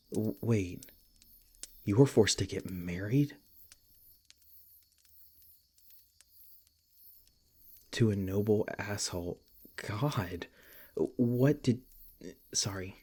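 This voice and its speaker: A man playing a protective, tender monster hunter in an ASMR roleplay, speaking in a gentle, halting, earnest way with a husky, breathy voice. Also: lowish voice. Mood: curious, surprised. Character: protective, tender male monster hunter comforting his beloved. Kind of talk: ASMR roleplay, soft intimate acting.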